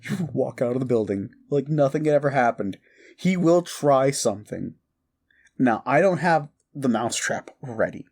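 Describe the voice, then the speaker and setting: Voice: mid-range voice, expressive, moderate pace, darkish tone, slightly rough. A performer of unclear sex playing a mischievous, exasperated modern necromancer in a comedic audio roleplay, rambling casually and swearing with incredulity. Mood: scheming glee. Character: mischievous, exasperated necromancer prankster, gleefully amoral.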